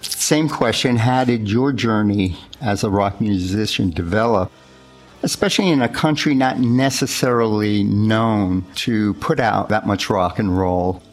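Male host, lower voice, measured interviewer, faint music bed. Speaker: radio host. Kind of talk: interview hosting.

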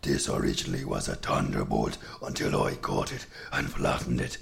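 A man's voice: extremely low pitch, fairly even intonation, normal speed, gravelly, voice pushed down, full character voice. A man voicing a boastful, greedy male giant, blustering and growling in a told folktale. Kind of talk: storytelling performance.

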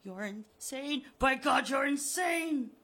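A woman's voice: high voice, lively intonation, slow pace, a bit bright, pitched above the performer's own voice, exaggerated voice. A woman voicing a terrified, trapped man who pleads and cries out desperately. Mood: panicked.

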